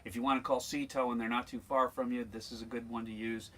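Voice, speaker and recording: A man's voice: medium pitch, flat delivery, fast talker, bright, forceful tone. An American man giving an informal, plain-spoken instructional demonstration as a sailing instructor, emphatic and repeating his points.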